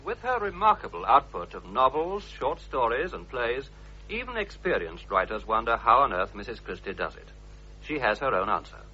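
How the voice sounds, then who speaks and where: A man's voice: mid-range voice, big pitch swings, moderate pace, noisy background. A man presenting a scripted radio documentary. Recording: dull vintage radio recording.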